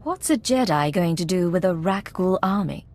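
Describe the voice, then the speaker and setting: A woman's voice: on the low side, animated, normal speed, a little mellow. An actress playing a self-assured female secret agent in a video game, controlled and coolly persuasive. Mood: probing, persuasive.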